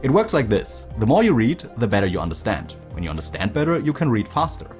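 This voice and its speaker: A man narrating a scripted language-learning video in a clear, explanatory way. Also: mid-range voice, very animated, fast talker, darkish tone, soft background music.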